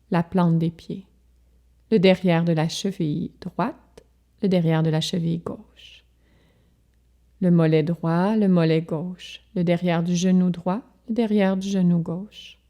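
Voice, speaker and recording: Woman, yoga relaxation guide, slightly low pitch, very unhurried pace, calm, soothing, measured pauses. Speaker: yoga nidra instructor.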